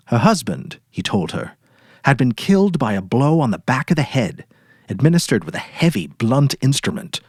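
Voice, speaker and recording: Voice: mid-range voice, husky, no room sound, studio quality. A narrator giving a dramatic audiobook reading of a story.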